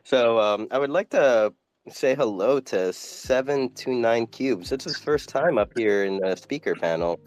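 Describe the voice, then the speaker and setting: A male host of a casual chat, relaxed and halting, with lots of fillers as he talks. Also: medium pitch, no echo.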